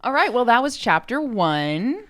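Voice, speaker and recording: A woman's voice: fairly high pitch, big pitch swings, unhurried, a bit bright. A woman co-hosting a podcast in casual chat, with a playful, joking manner. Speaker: podcast co-host and co-writer.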